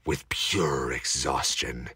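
Very deep, bright-voiced male narrator. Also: fairly even intonation, takes it slowly, breathy edge, no echo. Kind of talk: dramatic scripted audio drama narration.

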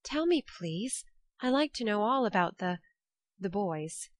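A woman voicing an eager, flustered young woman, her speech quick and bright. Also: mid-range voice, expressive, no room sound, studio quality.

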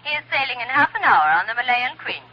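An actress playing a polite shipping office clerk on the telephone in a 1940s radio drama. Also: female voice, a little high, sing-song, quick pace, noisy background. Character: polite female shipping line switchboard clerk. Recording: dull old radio, telephone voice.

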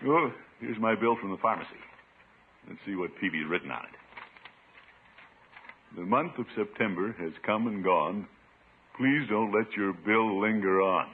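An American actor playing a pompous, good-humored middle-aged uncle in a blustery, grumbling 1950s radio comedy style. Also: male voice, lowish voice, a little slow, pauses often. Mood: grumbling, exasperated. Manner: blustery, chuckling, grumbling to himself. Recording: tinny, noisy 1950s radio recording.

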